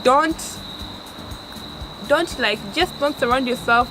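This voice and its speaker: A woman's voice: high-pitched, slow, music in the background, poor audio. A woman in her twenties from Tanzania, with a Tanzanian accent, giving earnest life advice in a casual vlog and often restarting her sentences. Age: 20s. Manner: earnest, restarts often, stresses words for emphasis. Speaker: vlogger. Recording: steady background noise.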